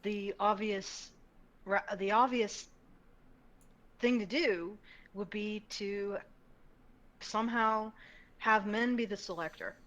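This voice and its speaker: A woman's voice: mid-range voice, slow, long pauses, a bit bright. Woman reasoning aloud on a podcast. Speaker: co-host. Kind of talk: casual podcast chat.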